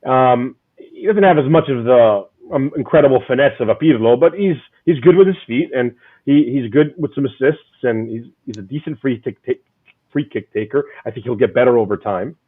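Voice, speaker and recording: A man hosting a casual fan chat, loud and rambling, often repeating his words. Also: mid-range voice, a little fast, breathy edge, narrow, tinny audio. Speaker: podcast host.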